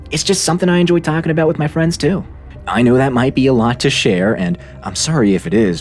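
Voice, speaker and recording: A man's voice: lowish voice, darkish tone. A man voicing an eager, clingy, apologetic online chatter, over-friendly and wheedling, in a dramatized reading of chat messages.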